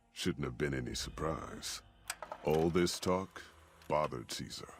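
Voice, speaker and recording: An older man with an American Western drawl, acting a gruff, plainspoken old caravan leader in a video game. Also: a bass voice, full character voice. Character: gruff folksy old caravan leader, weathered male storyteller. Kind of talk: video game character dialogue and narration.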